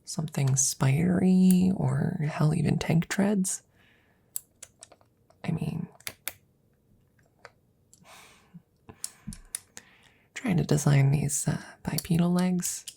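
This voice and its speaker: A woman playing a worried, devoted, nerdy scientist best friend in an ASMR audio roleplay, speaking softly and breathily up close, often whispering, in a hesitant, rambling, reassuring way. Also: low voice, gravelly, husky, heavily acted. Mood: softly playful. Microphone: close microphone.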